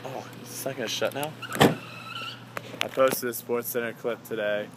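A man's voice: mid-range voice, wildly swooping pitch, a little slow. Distracted young man vlogging over background noise. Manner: easily distracted, chatty, exclamatory. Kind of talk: casual rambling vlog to camera. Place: on the go, car then grocery store. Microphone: handheld camera he sets down. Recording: clear ambient and vehicle noise.

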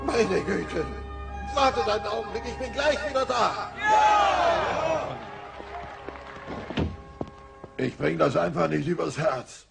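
A man's voice: high voice, big pitch swings, a little slow, vocal fry, loud music behind the voice, over a noisy crowd, heavily acted. An actor playing a dim, blustering, big-hearted middle-aged family man in a cartoon audio play, naive and declamatory. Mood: earnest.

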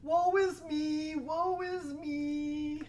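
A woman's voice: high-pitched, animated, measured pace, gravelly, a bit bright, voice pushed up, full character voice. A woman doing a roaring hungry troll. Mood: whining. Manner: roaring, then whiny and self-pitying. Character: hungry grumpy male troll under a bridge. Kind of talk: storybook puppet show voice.

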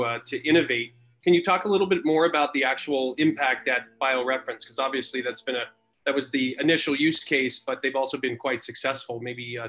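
A man with a medium-pitched voice moderates an online interview. He puts his questions conversationally over a video call. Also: a bit bright. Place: indoor room, video call.

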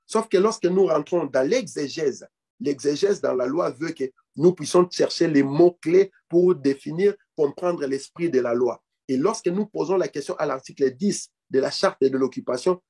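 A man's voice: on the high side, moderate pace, a little mellow, husky. A man in his forties, a political activist on a video call, arguing in an emphatic, declamatory way and repeating phrases for emphasis. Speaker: activist guest. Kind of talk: impassioned political argument over video call. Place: indoor room.

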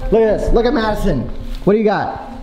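A man's voice: high voice, animated, quick pace, low-quality recording. An American man in his thirties narrating a casual home tour to camera in a chatty, exclaiming way. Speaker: vlogger dad giving a tour. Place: inside a family house. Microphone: handheld camera. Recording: loud music under handheld home video. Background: loud music.

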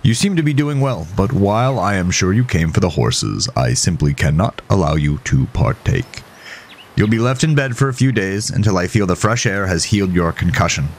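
A man voicing a gruff, stern, impatient small-town doctor with formal, precise speech in an audio horror story. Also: low voice, a little hiss or hum.

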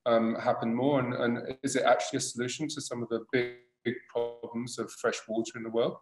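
A middle-aged man hosts a video-call interview from home. His voice has a medium pitch. He halts often and circles back over his words, and his laptop mic picks up a roomy, echoey living room. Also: flat delivery, fairly quick. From born in Tunisia, grew up in England. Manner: hesitant, with many restarts and fillers. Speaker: host, designer. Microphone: laptop webcam on a video call.

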